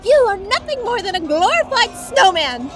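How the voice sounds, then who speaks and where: A woman playing a tiny, plucky, excitable fantasy creature with a squeaky delivery in an audio drama. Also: moderate pace, faint music behind, some chatter behind. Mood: defiant.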